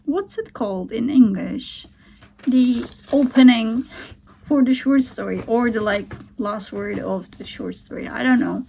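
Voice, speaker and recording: A young Turkish-accented woman talks slowly, pausing as she thinks things over, her voice carrying a gravelly fry. She muses in a hesitant, unhurried way and now and then drops into soft whispered asides, like someone recording at home on the floor. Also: a little high, slight background noise. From Turkey. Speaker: vlogger. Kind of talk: casual thinking-aloud vlog. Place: at home, sitting on the floor beside a heater.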